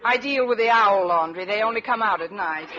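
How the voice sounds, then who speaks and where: A woman playing a witty, sardonic high school teacher with a dry delivery in a vintage radio comedy. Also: brisk, close to an everyday voice. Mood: dry, evasive. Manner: dry, wisecracking. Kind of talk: 1940s-50s radio comedy acting. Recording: dull hissy vintage radio recording.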